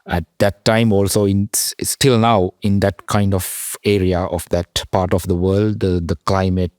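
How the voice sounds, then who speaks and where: Young sri lankan guy, very low voice, halting with lots of uhs, dry close mic. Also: little pitch movement, a little mellow, dry recording. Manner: halting, with many fillers and restarts. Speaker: guest, PhD student. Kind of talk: podcast interview.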